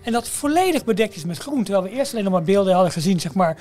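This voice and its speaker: A man's voice: sits high, animated, very fast, darkish tone. A man, co-hosting a podcast in casual conversation. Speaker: podcast co-host. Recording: background music under the talk. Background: ambient theme-park music.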